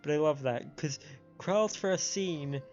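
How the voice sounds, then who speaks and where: A man's voice: a little high, takes it slowly. A man giving casual live commentary as he streams a game. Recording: dull band-limited stream audio, music behind.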